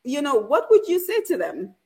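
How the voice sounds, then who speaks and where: A woman's voice: high voice, wildly swooping pitch, normal speed, a little mellow. A woman hosting a program, interviewing a guest and reading out announcements, with many affirming "mm-hmm"s. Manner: frequent affirming "mm-hmm" and "right". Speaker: radio program host.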